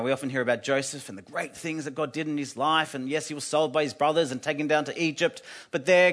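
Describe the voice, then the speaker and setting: Earnest man preaching. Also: on the high side. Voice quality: loud voice. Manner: earnest, drops to a hush on solemn points. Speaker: guest preacher from an anti-slavery group. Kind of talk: sermon. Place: church.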